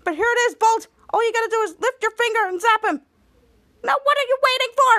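Woman acting exasperated, high-pitched superhero team leader. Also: expressive, a little fast, a bit bright, dry recording, clean studio sound. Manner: emphatic, impatient commands.

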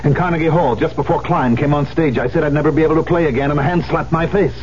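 An actor playing a proud, embittered concert pianist in a 1970s radio drama, with a theatrical, emphatic delivery. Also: male voice, fairly high pitch, steady tone, quick pace, a little mellow, close to an everyday voice. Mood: fervent conviction. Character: proud, embittered concert pianist who lost his hand. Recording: muffled, hissy old radio broadcast.

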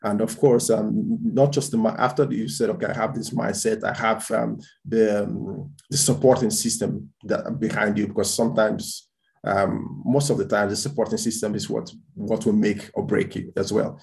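A man with a low, gravelly voice speaks as an interview guest. His talk is halting, full of restarts and doubled words. He was born in Spain and raised in Portugal. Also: little pitch movement, a little mellow. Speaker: guest entrepreneur. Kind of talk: casual interview chat.

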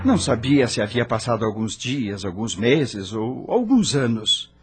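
A man's voice: mid-range voice, very animated, a little slow, over music. An actor playing an anguished dead male rock star, narrating his own story in a theatrical, brooding radio drama style.

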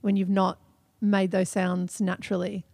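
A woman co-hosting a podcast in casual chat, chatty and quick to laugh, often trailing off and starting over. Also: mid-range voice, level tone, vocal fry, a little mellow, no echo, studio quality. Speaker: podcast co-host.

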